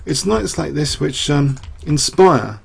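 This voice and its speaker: Chess commentator, male, fairly high voice with a rough, raspy edge, thinking aloud, losing his voice. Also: expressive, takes it slowly. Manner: rambling, thinking aloud with many fillers and rhetorical questions. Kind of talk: chess game analysis.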